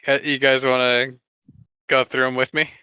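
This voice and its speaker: A man co-hosting a podcast in casual chat, talking haltingly with trailing asides. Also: medium pitch, little pitch movement, sharp tone, clear, resonant tone, no room sound. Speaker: podcast co-host.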